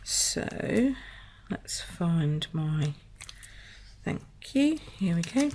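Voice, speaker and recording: A slightly croaky woman from the UK talks through a craft project at her table, chatting and thinking aloud with little fillers. Her voice sits a touch low, with a faint hiss behind it. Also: very animated, drawn-out words. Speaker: papercraft demonstrator. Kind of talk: casual craft tutorial.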